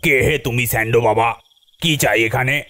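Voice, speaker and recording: A man's voice: mid-range voice, fairly quick, fairly bright tone, lightly acted. A man voicing a bossy, unworried uncle in a comic cartoon, teasing and commanding. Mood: teasing, dismissive. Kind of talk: cartoon voice acting.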